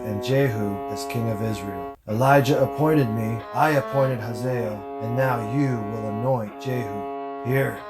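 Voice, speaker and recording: A man's voice: medium pitch, lightly acted. A man playing a solemn elderly prophet. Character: aged prophet Elisha, solemn and commanding. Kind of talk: audio drama acting. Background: music underneath.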